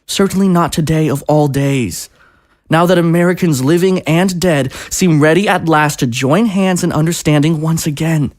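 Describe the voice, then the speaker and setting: An actor playing a presidential aide who speaks in a polished, rhetorical, conciliatory way. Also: male voice, a little high, moderate pace, no room sound, studio quality, lightly acted. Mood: persuasive. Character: smooth persuasive presidential aide, man.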